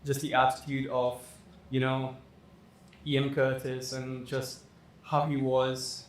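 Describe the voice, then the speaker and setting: A man's voice: medium pitch, monotone, takes it slowly. Rambling young man in an echoey room. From Dubai. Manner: rambling, many fillers and "like"s. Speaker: fashion designer guest. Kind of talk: casual interview talk. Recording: echoey room with faint noise.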